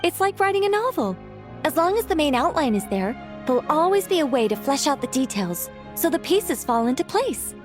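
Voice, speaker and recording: A woman playing a whimsical young witch in a video game, self-assured and breezy, musing in a gently theatrical way. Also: high-pitched, sing-song, brisk, soft background music. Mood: wistful, reassuring.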